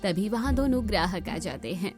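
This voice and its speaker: A woman's voice: mid-range voice, expressive, normal speed, over music. A woman narrator reading a scripted moral story for a cartoon.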